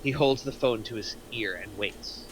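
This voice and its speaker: A man's voice: medium pitch, moderate pace, background noise. A man reading scripted stage directions aloud in narration at a screenplay table reading.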